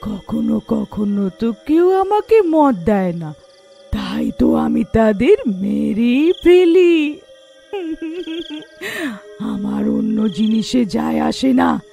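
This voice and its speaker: A woman voicing a menacing, drink-craving village witch in a cartoon fairy tale, with slow, drawn-out, chanting speech. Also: fairly high pitch, gravelly, dark tone, exaggerated voice.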